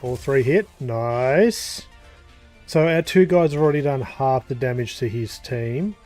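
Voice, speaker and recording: A man casually commenting as he plays through a game, thinking aloud with pauses and fillers. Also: medium pitch, darkish tone, soft background music. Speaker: gaming YouTuber. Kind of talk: casual let's-play commentary.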